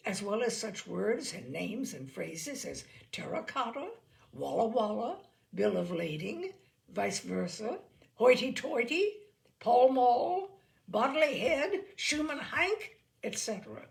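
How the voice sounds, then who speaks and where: A woman narrates a humorous short story with theatrical emphasis. Her pitch moves in a lively way across each phrase, and her voice has a creaky, gravelly edge.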